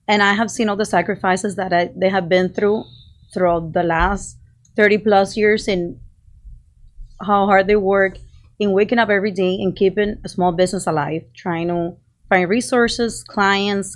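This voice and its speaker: A woman in her late thirties, from Cuba and raised in Costa Rica, telling her story earnestly as a podcast guest. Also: medium pitch. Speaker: podcast guest, architect and builder. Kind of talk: casual interview talk.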